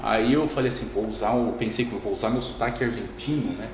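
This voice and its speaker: A man, a teacher and podcast guest, telling a story in a relaxed casual way with frequent tag questions. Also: lowish voice, quick pace. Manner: relaxed, anecdotal, laughs easily, frequent "né?". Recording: roomy echo, long reverb, noisy.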